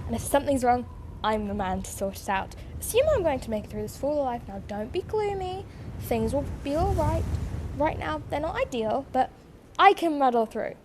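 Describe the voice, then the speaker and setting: A woman playing a quick, scatty, optimistic alien time traveller in a fan audio drama, chattering to herself and switching abruptly between worry and pep. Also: high-pitched, big pitch swings, darkish tone, slight background noise. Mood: determined, upbeat.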